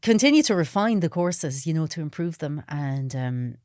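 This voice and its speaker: A woman's voice: deep voice, lively intonation, normal speed, a little mellow, no echo, studio quality. An Irish woman who teaches the Irish language, talking in a chatty, enthusiastic way as an interview guest. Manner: enthusiastic, chatty, frequent agreeing "yeah". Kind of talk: casual interview chat.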